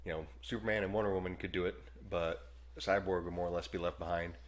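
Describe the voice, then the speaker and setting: A man with a very low voice chats easily on a podcast. He rambles through long asides with plenty of fillers and often breaks into a chuckle. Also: fairly even intonation, fast talker. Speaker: podcast co-host. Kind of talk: casual movie review chat.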